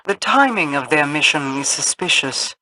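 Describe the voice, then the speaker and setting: A woman playing an aloof, cryptic queen in a video game, speaking in a formal, measured, imperious way. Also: low-pitched, animated, pushed and bright, rough recording. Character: regal, aloof, cryptic queen of an otherworldly people.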